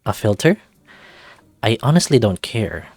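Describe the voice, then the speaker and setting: A man's voice: lowish voice, big pitch swings, a little slow, vocal fry, darkish tone, breathy edge, no room sound, faint music behind, heavily acted. A man voicing a bewildered, self-conscious young male wolf in a visual novel, hesitant and questioning. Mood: reluctant.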